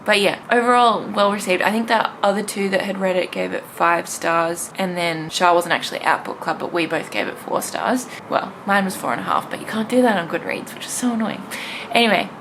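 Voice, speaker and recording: A woman's voice: mid-range voice, fairly quick, gravelly. A young woman talking casually to camera in a gushing, informal, rambling way, like a vlogger. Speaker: book vlogger. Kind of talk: casual chatty vlog to camera. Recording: noticeable background noise.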